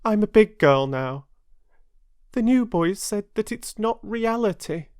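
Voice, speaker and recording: A sweet little girl from a storybook, acted out by a man, pitched well up from his normal voice. The voice is small and breathy, with a childlike, plaintive delivery and lots of swing in it. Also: animated, a little slow, darkish tone, no echo, clean studio sound. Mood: sad. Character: sweet little girl, imaginative, easily upset.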